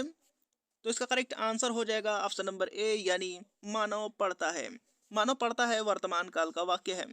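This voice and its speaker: A man with a notably high, clean and resonant voice delivers an exam-prep lesson. He works methodically through questions and answers in a repetitive instructional way. The sound is dry and close, with very little room in it. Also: pushed and bright, clean tone, no echo. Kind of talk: quiz-style lesson narration, reading questions and giving answers.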